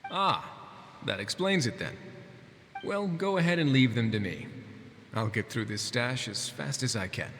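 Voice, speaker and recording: A man's voice: mid-range voice, animated, measured pace, fairly bright tone, a little hiss or hum. A man playing a wry, easygoing fortress administrator in a video game, with a teasing, laid-back delivery. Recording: long hall reverb, faint music. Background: faint music.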